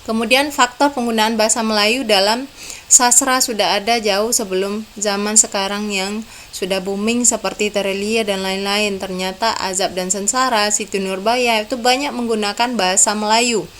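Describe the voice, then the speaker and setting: A young woman lecturer giving a recorded university lesson over slides, explaining calmly and step by step. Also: medium pitch, moderate pace, a little hiss or hum. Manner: explains in a calm, step-by-step way, often saying "ya".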